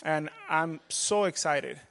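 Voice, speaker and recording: A man from Peru, a pastoral candidate, introducing himself to a church in an earnest, measured way with frequent fillers. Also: fairly high pitch, vocal fry, a bit bright. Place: large church sanctuary. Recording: long hall reverb.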